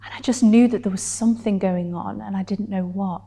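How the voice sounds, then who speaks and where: A woman performing a dramatic stage monologue as a wounded, defiant young woman, deliberate and emphatically articulated with weighted pauses. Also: medium pitch, steady tone, vocal fry, dark tone, husky. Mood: tender recollection.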